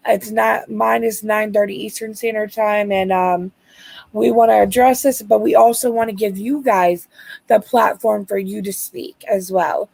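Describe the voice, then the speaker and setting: Raspy American woman co-hosting a livestream, slow and halting, gravelly with a dry close sound. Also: mid-range voice, fairly even intonation, a little mellow, no room sound. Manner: hesitant, many ums. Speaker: livestream co-host. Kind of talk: casual livestream chat.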